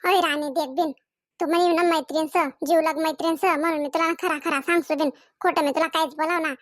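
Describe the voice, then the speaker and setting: A woman's voice: very high-pitched, steady tone, fast talker, no room sound, clean studio sound. A woman voicing a scolding, jealous young village woman in a comedy cartoon, nagging and accusing in a Khandeshi Ahirani accent. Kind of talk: comedy cartoon dialogue in dialect.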